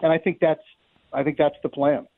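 A middle-aged man with a fairly high voice talks baseball as a radio guest. He is conversational and wry, analysing like a play-by-play announcer. The sound is a thin, phone-like line with some room echo. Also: flat delivery, brisk, gravelly. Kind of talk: unscripted sports analysis in a live radio interview. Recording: roomy, thin narrow-band phone line.

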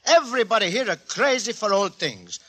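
Cheerful Italian immigrant, Italian accent, broken English, high pitch, swooping earnest delivery, old radio comedy. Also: male voice, lively intonation, fairly quick, a bit bright. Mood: earnest, proud. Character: naive, cheerful Italian immigrant antique dealer, earnest man. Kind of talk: 1940s radio comedy acting. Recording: 1940s radio recording, live studio audience.

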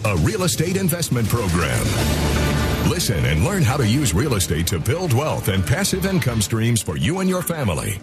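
A man's voice: medium pitch, huge pitch swings, moderate pace. A man reading a scripted radio show intro as the announcer, with a dramatic delivery. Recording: loud music bed under voice.

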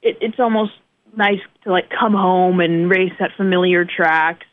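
A young woman from the northeastern United States, a guest racing driver answering in casual interview talk, relaxed and modest with many fillers. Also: fairly low pitch, measured pace, no room sound. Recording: tinny phone-like line.

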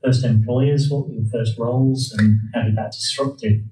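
A man's voice: mid-range voice, steady tone, normal speed, warm tone, a little hiss or hum. A man hosting a podcast, reading a scripted intro and then asking interview questions in a polite, welcoming way. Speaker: podcast host. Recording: roomy, distant mic with faint music.

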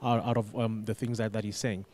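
Man, halting, repeating for emphasis. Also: fairly low pitch, level tone, a little mellow. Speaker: radio business manager, studio guest. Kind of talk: conversational interview answers.

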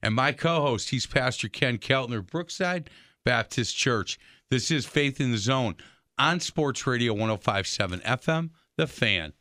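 A man hosts a sports radio talk show in an upbeat, joking way. His voice sits in an ordinary middle pitch. The recording is dry and close. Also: lively intonation, measured pace, sharp tone, no echo. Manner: jokey, upbeat. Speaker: radio host.

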